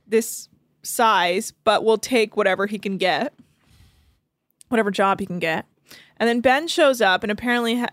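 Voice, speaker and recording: A woman's voice: a little high, no room sound. A woman co-hosting a podcast, recapping a reality show in casual chat, laughing often and joking crudely.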